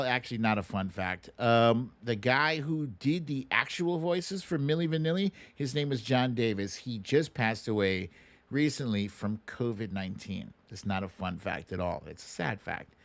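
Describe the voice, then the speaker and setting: A man's voice: mid-range voice, expressive, a little slow. A man hosting a casual livestream guessing game, chatting playfully and informally straight to his viewers. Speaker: livestream host running a song-mashup guessing game.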